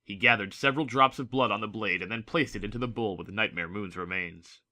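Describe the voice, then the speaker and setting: Bright, forceful male story narrator. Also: fairly low pitch, a little fast. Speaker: audiobook narrator. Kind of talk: dramatic scripted story narration.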